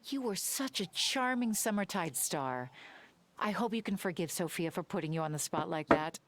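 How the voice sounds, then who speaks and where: Lowish, gentle woman as a village neighbour. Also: animated, normal speed, slight background noise, natural voice. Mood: kind, apologetic. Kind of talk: video game voice acting.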